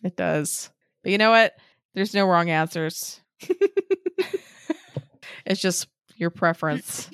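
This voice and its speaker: A woman's voice: fairly low pitch, slow, long pauses, a bit bright, clear, resonant tone, no room sound, clean studio sound. A young woman co-hosting a casual podcast, talking loosely with laughs and swearing, her voice still a little off from a cold. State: recovering from a cold, voice a bit off. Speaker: podcast co-host. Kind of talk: casual chat.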